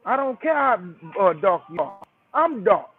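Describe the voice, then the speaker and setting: A man's voice: very high voice, sing-song, moderate pace, breathy edge. A man calling in by phone, talking in a casual, preachy, emphatic way and peppering his speech with "man" and "bro". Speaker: call-in guest. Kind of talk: casual call-in chat. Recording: tinny phone line.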